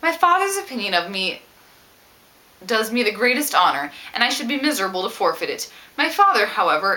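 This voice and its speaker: A woman reads the part of a self-assured young gentlewoman from a Regency novel. Her voice is bright, with lively swings in pitch. The phrasing is formal, witty and expressive. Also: poor audio. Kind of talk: reading novel quotations aloud.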